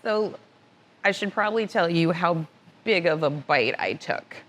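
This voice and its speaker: A woman's voice: on the low side, measured pace. A woman guest on a casual talk show, telling personal stories with frequent laughter. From born in Italy, raised moving around. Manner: laughs often, animated anecdotes. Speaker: podcast guest, motorcycle finance director. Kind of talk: casual storytelling interview. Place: cigar club lounge.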